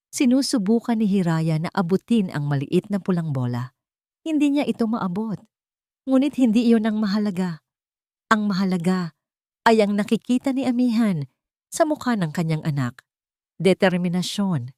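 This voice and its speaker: A woman narrating a scripted story in a measured, dramatic storytelling manner. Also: fairly low pitch, darkish tone, no echo, clean studio sound.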